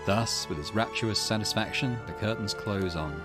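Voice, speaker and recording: A man as a low, solemn mystery narrator. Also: moderate pace, lightly acted. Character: solemn narrator of a dark occult mystery story. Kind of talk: scripted narration read aloud from a visual novel. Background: game music.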